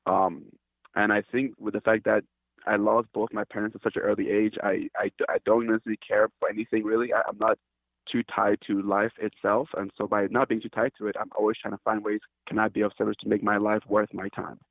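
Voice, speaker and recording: A man from Cameroon speaks in a low, fairly monotone voice, stopping and restarting as he searches for words. He comes through a thin, tinny phone line with a dry, close sound. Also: fairly quick, no echo. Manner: hesitant, restarts often, earnest. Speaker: company founder, guest. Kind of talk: casual interview talk.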